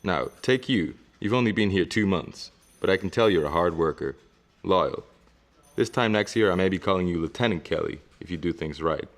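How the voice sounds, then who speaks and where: An actor playing a senior police chief who lectures a young officer, in an amateur short film. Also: male voice, sits low, expressive, moderate pace. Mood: stern. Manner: authoritative, lecturing.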